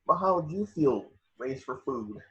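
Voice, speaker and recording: A man's voice: mid-range voice, expressive, normal speed, breathy edge, muffled recording. A man reading the part of a timid, hesitant thirteen-year-old boy at an amateur table read. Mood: pleading. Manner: hesitant, pleading.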